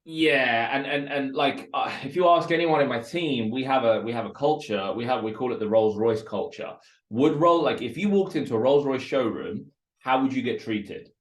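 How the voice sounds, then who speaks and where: A man's voice: mid-range voice, normal speed. A Russian-born man talks with energy about his work. His voice sits in a medium register, and he often repeats a word as he sets up a point. The recording carries a noticeable roomy echo. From Russia, raised in West London. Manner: energetic, repeats words while building points. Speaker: entrepreneur guest. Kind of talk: casual interview talk.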